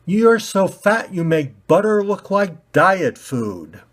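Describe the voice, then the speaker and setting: A man's voice: high-pitched, big pitch swings, slow pace, voice pushed up, full character voice. A man voicing a smug, rude, self-righteous storybook red ball, with a condescending, emphatic delivery. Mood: taunting.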